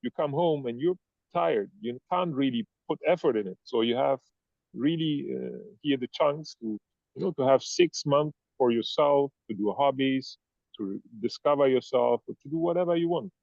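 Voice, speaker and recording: A man's voice: mid-range voice, normal speed, vocal fry, no room sound, lo-fi sound. A man whose first language is German and whose accent is a mix of German and Italian, talking casually as an interview guest, rambling and searching for words. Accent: mixed German-Italian. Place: Ibiza.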